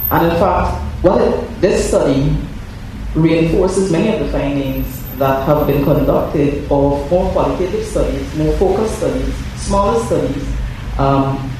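A hoarse, scratchy-voiced man giving a formal prepared speech at an event, measured and careful, with small self-corrections. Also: medium pitch, dark tone. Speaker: invited speaker at a report launch. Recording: very echoey hall, mic far off, faint noise.

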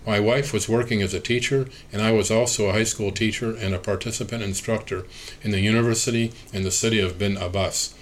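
A man reading an oral history interview transcript aloud at a measured, even pace, speaker labels included. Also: lowish voice, a little hiss or hum.

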